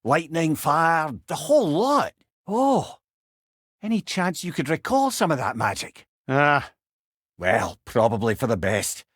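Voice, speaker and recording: Game role: witty old Scottish storyteller god, eloquent and wry, animated intonation, dry studio sound. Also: male voice, fairly high pitch, measured pace, dry recording, exaggerated voice. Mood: wry, curious. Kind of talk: video game character dialogue, storytelling banter.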